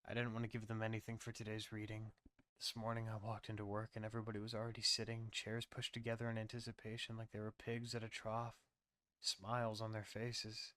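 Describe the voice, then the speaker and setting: A man's voice: fairly low pitch, monotone, a little fast, fairly bright tone. A man narrating a scripted first-person horror story on a close mic.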